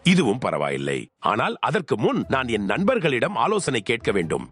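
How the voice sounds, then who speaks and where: A man playing a sly, greedy swindler in an animated cartoon, talking in a scheming, swaggering way. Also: mid-range voice, normal speed, slightly rough, faint music behind, full character voice. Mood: wheedling. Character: sly greedy male swindler selling temple land. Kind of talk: animated cartoon dub acting.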